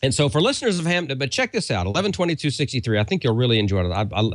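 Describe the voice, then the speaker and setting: A man's voice: medium pitch, big pitch swings, racing, no echo. A man co-hosting a show, giving a casual, conversational sponsor read that rambles a little. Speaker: show co-host.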